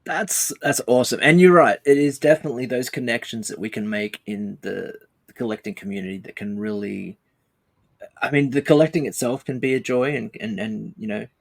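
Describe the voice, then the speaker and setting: Gravelly male podcast host. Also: mid-range voice, fairly quick. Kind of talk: casual interview chat.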